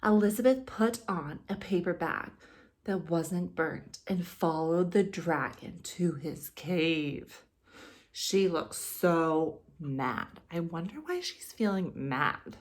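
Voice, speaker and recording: A woman in her thirties, a kindergarten teacher, reading aloud to young children with simple explanations and expressive storytelling. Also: fairly low pitch, slow. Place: living room, indoors.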